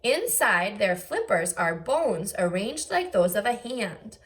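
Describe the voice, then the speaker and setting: Slow, expressive woman teacher reading aloud. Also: mid-range voice. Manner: careful enunciation, expressive teacherly phrasing. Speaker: first grade teacher. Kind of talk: read-aloud lesson with asides to young children.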